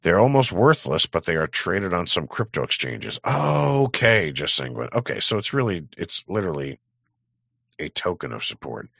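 A man's voice: low-pitched, very animated, moderate pace, gravelly, fairly bright tone, husky, dry recording, lo-fi sound. A man hosting a livestream, rambling through a profane, sardonic political rant. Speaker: livestream host.

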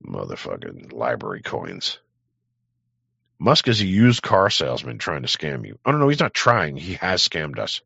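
A man's voice: rumbling low, wildly swooping pitch, normal speed, creaky, sharp tone, breathy edge, no room sound, lo-fi sound. A man hosting a livestream, rambling through a profane, sardonic political rant. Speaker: livestream host.

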